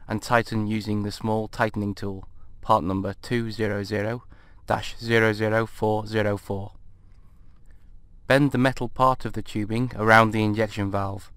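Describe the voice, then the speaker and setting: A man reading scripted technical instructions as a training narrator, in a measured, precise, neutral way. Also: lowish voice, a bit bright, clean tone, no echo.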